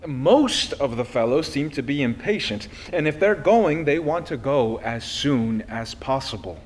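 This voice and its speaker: A man reading a personal letter aloud expressively, with deliberate phrasing and pauses. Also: mid-range voice, expressive. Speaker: reader of a historical letter.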